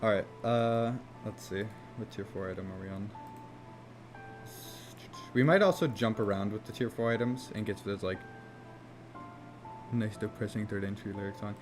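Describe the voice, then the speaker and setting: A man casually chatting on a game development live stream, rambling and swearing easily, with a somewhat monotone voice. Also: fairly low pitch, normal speed, pauses often, music in the background. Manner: rambling, laid-back, swears casually, frequent "like" fillers.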